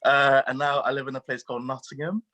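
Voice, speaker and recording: A man's voice: medium pitch, little pitch movement, fast talker, bright, forceful tone. A young man from South Africa whose first language is not English, a cheerful drama student.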